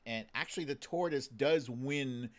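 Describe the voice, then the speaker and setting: A man's voice: mid-range voice, unhurried. A man hosting a live stream in casual, playful commentary, chatting to viewers in slangy phrases that he often repeats.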